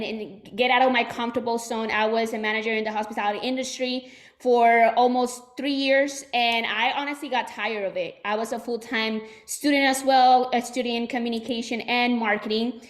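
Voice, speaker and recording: A woman in her twenties with a Puerto Rican accent, giving a chatty motivational training talk to her team with many fillers and restarts. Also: fairly high pitch. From Puerto Rico. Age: 20s. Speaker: insurance agency owner giving a talk.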